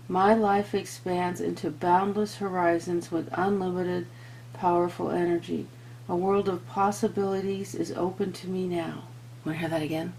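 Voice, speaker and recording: A woman with a lowish, creaky voice gives a tarot reading. She speaks earnestly and directly to her viewers, mixing read-out passages with informal asides. A faint hum and noise sit behind her. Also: mellow, low-quality recording. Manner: earnest, talks to viewers and to spirit. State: voice giving out, throat-clearing. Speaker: tarot reader and host.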